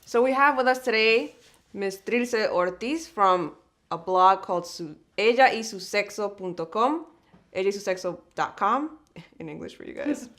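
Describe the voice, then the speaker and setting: A woman hosts a relaxed talk show, greeting viewers and asking questions with an easy laugh. Her voice is mid-pitched and plain. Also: moderate pace. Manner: friendly, laughs often, asks questions. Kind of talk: casual talk show hosting. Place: studio set at a table.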